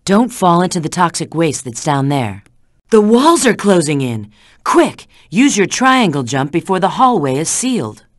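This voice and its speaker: A woman's voice: fairly low pitch, big pitch swings, moderate pace, no room sound, studio quality. An actress playing a sly, confident female spy in a video game, giving scripted hints in a cool, assured, instructive way. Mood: urgent.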